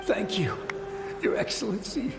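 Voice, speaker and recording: An actor playing a shaken, devoted samurai soldier in a video game, halting and fervent, with reverence for his ruler. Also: high-pitched, very animated, vocal fry, exaggerated voice. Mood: reverent, relieved. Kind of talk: scripted video game dialogue. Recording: echoey, music behind the voice. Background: background music.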